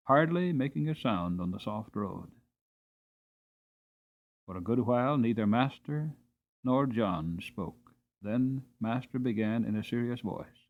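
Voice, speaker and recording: A man reads a storybook as a dramatic narrator. His delivery is expressive and shifts in pace, at an average pitch. The recording is slightly dull. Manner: expressive, with varied pacing. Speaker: audiobook narrator. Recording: slightly dull, band-limited audio.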